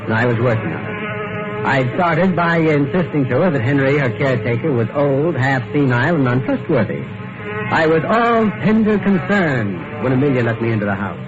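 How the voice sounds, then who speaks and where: A man playing a calculating, falsely tender suitor and narrator in an old radio mystery, speaking in a polished, deliberate and solicitous way. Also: mid-range voice, animated, over music, lightly acted. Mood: smug, confiding. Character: smooth-talking scheming male suitor, falsely tender, calculating. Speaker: narrator and lead character. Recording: dull, noisy vintage radio recording.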